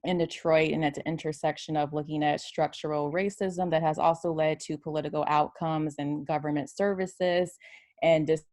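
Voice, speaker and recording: A woman's voice: sits low, fairly even intonation, moderate pace, a little mellow, no room sound, clean studio sound. A woman speaking up as a participant on a video call, hesitant with many ums. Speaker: workshop participant. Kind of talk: casual remarks.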